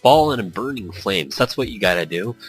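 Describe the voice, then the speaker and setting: A man's voice: fairly low pitch, expressive, brisk, soft background music, rough recording. A man reading a visual novel aloud as a let's-play host, stumbling over words and adding off-the-cuff commentary.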